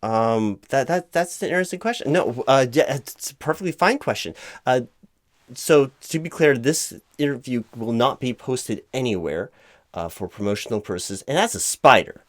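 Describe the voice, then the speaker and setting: A man playing a flustered, distracted hiring manager in a comedic audio roleplay, speaking in a halting, stammering, awkwardly formal way. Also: medium pitch, animated, no room sound. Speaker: performer playing a job interviewer. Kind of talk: one-sided comedic audio roleplay, loud spoken.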